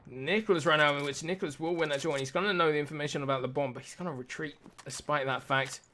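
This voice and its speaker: A male esports caster calls a shooter match play by play, his voice worn and slightly raspy from the late hour. He keeps up the running commentary alone from a home setup. A faint hiss sits under his voice. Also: on the high side, fast talker, pushed and bright. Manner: self-deprecating, talks through tech trouble.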